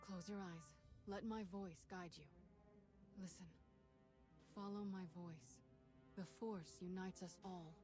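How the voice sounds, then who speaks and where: A woman playing an earnest, steadfast Jedi in a video game, speaking in a measured, guiding manner. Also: lowish voice, steady tone, dark tone. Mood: calm, guiding. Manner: measured, soothing instruction. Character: female Jedi guide, earnest, calm, steadfast. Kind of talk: video game cutscene acting. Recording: music clearly behind the voice.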